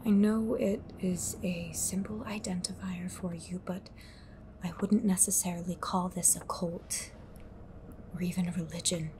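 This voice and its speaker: Actress as haunted, secretive woman for audio drama: cryptic, grave, unhurried delivery. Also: on the low side, steady tone, background noise, lightly acted. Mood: grave, insistent. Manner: cryptic, slow, deliberate, sighing. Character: haunted, secretive woman fighting a sinister occult group. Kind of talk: audio roleplay drama acting.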